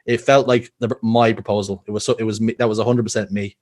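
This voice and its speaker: A man's voice: on the low side, flat delivery, quick pace, a little mellow. A young Irish man with a Dublin accent, a guest telling personal stories earnestly with frequent restarts. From Ireland. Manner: earnest storytelling, many restarts. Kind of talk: casual podcast interview.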